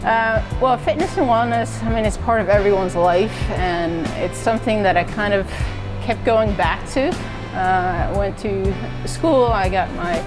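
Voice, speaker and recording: A middle-aged woman from Norway, a university fitness and wellness director, answering interview questions in a relaxed, conversational way with frequent fillers. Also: medium pitch, measured pace, rough recording. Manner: conversational, many "uh" and "so" fillers, laughs lightly. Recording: music playing underneath.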